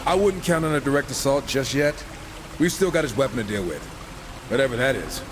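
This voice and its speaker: A man's voice: medium pitch, animated, fairly quick, background noise, lightly acted. An actor playing a wisecracking, irreverent horseman of the apocalypse in a game, with sarcastic, casual banter. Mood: sardonic. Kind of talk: video game cutscene acting.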